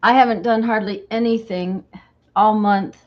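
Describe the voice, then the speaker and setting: A woman hosting a craft livestream, chatting casually and greeting viewers by name as she works, her voice slightly croaky from a cold. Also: mid-range voice, steady tone, unhurried, muffled recording. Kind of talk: casual chat while crafting.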